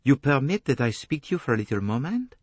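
A man voicing a gentle older male detective, measured and formal, in an audiobook novel. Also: mid-range voice, big pitch swings, slightly rough, dry recording, voice pushed up. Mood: courteous, probing.

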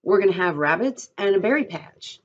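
A woman's voice: mid-range voice, lively intonation, a little fast, breathy edge, lo-fi sound, voice pushed up. A woman voicing a childlike, trusting and easily agitated male ranch worker in an audiobook-style reading, with rustic dialect and insistent, pleading delivery. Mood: eager, indignant.

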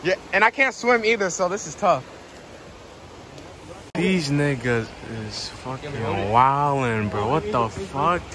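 A young man vlogging casually with friends on a handheld phone camera, loud and joking, laughing a lot. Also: on the high side, lively intonation, slow pace, a crowd in the background. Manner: loud, joking, trash-talking, laughing often. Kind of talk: casual hangout vlog with friends. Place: bowling alley, then woods outdoors.

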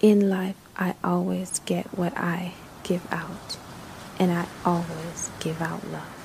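Female meditation guide, hushed and soothing, gravelly creak in the voice, unhurried pauses, noticeable background hiss. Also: on the low side, flat delivery, poor audio. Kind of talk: slow guided meditation narration.

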